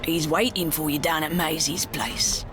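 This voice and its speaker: A woman voicing an over-familiar male kidnapper, soothing and matey with quiet menace, in an audiobook story. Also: deep voice, moderate pace, music in the background, lightly acted. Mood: familiar, loving.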